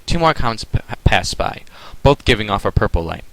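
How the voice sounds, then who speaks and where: A man reading his own fan story aloud as a soft, hushed dramatic narrator. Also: on the low side, sing-song, a little fast, no echo, poor audio.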